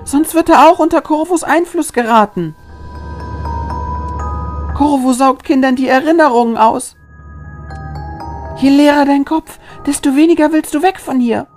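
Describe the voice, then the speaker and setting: A man's voice: very high voice, a little slow, pauses often, music in the background, pitched above the performer's own voice. A man voicing a mysterious young girl, old beyond her years, who speaks in earnest warnings. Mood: worried, earnest.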